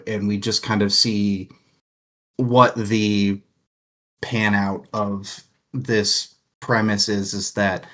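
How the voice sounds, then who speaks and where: A man with a somewhat low voice talks through a book in a relaxed, meandering chat. He circles his points, thinking out loud as he goes. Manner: rambling, thoughtful, lots of "like" fillers. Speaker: book discussion co-host. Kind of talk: casual chat.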